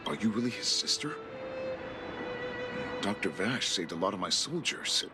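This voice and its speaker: A man's voice: fairly low pitch, lively intonation, normal speed, lightly acted. An actor voicing a stern, suspicious male guard captain who talks in a commanding way, with game music behind him. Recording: studio voice-over with game music.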